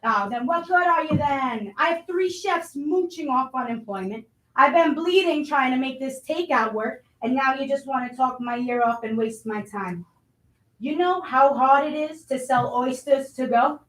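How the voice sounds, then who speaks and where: Actress as brash impatient restaurant boss, high female voice, blunt and badgering, staged play reading, roomy echo. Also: normal speed, close to an everyday voice. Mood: irritated, scornful. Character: abrasive, impatient, foul-mouthed restaurant boss.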